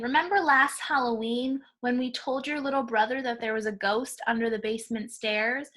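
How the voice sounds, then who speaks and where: A scared little crayon from a picture book, read by a woman, speaks in a high, bright voice. It chides its friend and pleads to be fetched. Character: frightened glow-in-the-dark crayon, pleading and reproachful.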